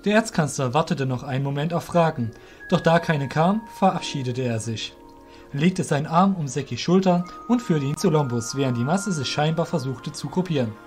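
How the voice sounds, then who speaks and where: A man narrating a scripted audio drama as storyteller, in a measured, literary reading manner. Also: a little high, lively intonation, soft background music.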